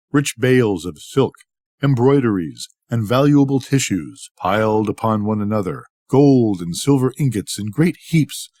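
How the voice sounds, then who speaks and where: An older man reading a scripted bedtime story in an expressive, measured storytelling manner. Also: fairly low pitch, dry recording, studio quality. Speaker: storybook reader.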